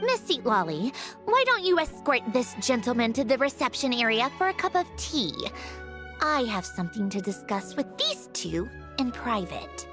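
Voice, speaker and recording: A woman playing a haughty, sharp-tongued mechanical puppet scientist in a video game, with a dry, condescending, exasperated manner. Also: fairly high pitch, big pitch swings, normal speed, over music. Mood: composed, dry.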